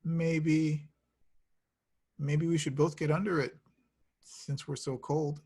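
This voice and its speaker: A man reading the part of an awkward, eager, insecure young man in love, halting and sheepish, in a screenplay table read. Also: on the high side, little pitch movement, a little mellow, slightly rough, exaggerated voice. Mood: nervous.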